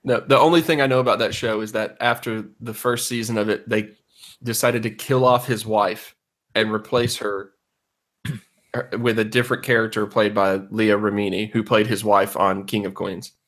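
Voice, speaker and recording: A man's voice: on the low side, fairly even intonation, normal speed, fairly bright tone. A man hosting a comedy podcast in casual banter, joking dryly with a mock-announcer streak. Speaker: podcast host.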